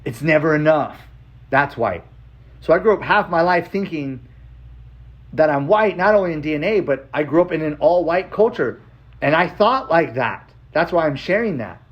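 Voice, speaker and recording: A man's voice: fairly high pitch, expressive, normal speed. An American man laying out his life story bluntly and emphatically, like someone on a homemade personal video with dull, lo-fi sound. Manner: blunt, emphatic, repeating short phrases.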